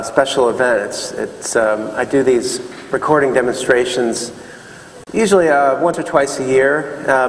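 A man giving an informal, halting lecture as a guest speaker to an audience in an auditorium. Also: mid-range voice. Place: large auditorium stage. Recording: echoey hall with background noise.

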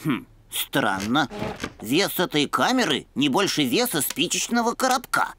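Bookish cartoon schoolboy dog: low voice, measured delivery, lively intonation. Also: female voice, faint music behind, exaggerated voice. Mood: puzzled, pedantic. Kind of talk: animated cartoon acting.

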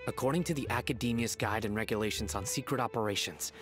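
An actor playing a stern, principled young desert law enforcer in a video game, speaking gravely and formally. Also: male voice, lowish voice, fast talker, a bit bright, faint music behind, close to an everyday voice. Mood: commanding. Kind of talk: video game character acting.

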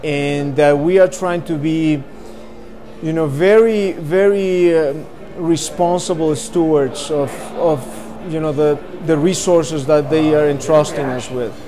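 Earnest man with a slightly high voice. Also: takes it slowly, music in the background. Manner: earnest, hesitant with fillers. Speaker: marketing director. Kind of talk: on-camera interview.

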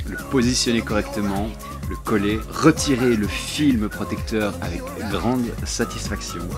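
A man narrating a do-it-yourself building tutorial in a relaxed, friendly voice-over, slipping slang into his step-by-step instructions. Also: fairly low pitch. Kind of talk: casual DIY tutorial voice-over. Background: faint music.

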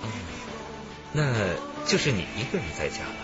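A man's voice: medium pitch, wildly swooping pitch, normal speed. An actor playing an ingratiating, falsely genial businessman conman in a radio drama. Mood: genial, suave. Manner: chuckling, ingratiating. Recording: muffled, music behind.

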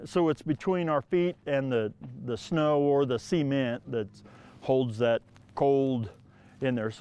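A man's voice: medium pitch, slow pace, mellow, smooth, lo-fi sound. A middle-aged man outdoors answering interview questions in a chatty way, repeating words as he goes. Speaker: interviewee, sports fan. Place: outdoors by a building.

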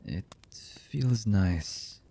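An actor playing a brooding, distrustful young anime villain in a boyfriend ASMR roleplay, with a husky, breathy voice and halting, hushed delivery. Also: male voice, low voice, lively intonation, full character voice. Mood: tender, awkward. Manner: slow, halting, hushed delivery with long pauses.

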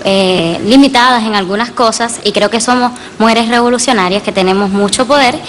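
A woman in her twenties from Puerto Rico, a pageant contestant giving an earnest, measured answer. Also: fairly high pitch, a little hiss or hum. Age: 20s.

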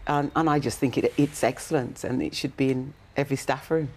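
Woman, plenty of vocal fry, conversational panel reviewer. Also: a bass voice, steady tone, a little fast, a little mellow, a little hiss or hum. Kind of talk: informal spoken book review.

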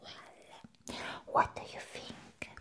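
A doting female vampire, played by a woman, whispers very slowly in an ASMR roleplay. Her English is non-native, and her breathy delivery is affectionate and clingy, with words softly repeated. The whisper is crisp and bright, lingering on each phrase. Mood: adoring. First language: not English.

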